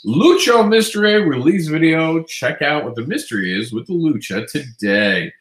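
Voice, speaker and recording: A man's voice: on the high side, lively intonation, normal speed, fairly bright tone. Congested nasal man in an echoey room. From Queens, New York. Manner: chatty, upbeat, self-correcting. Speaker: comics news host. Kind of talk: casual news roundup.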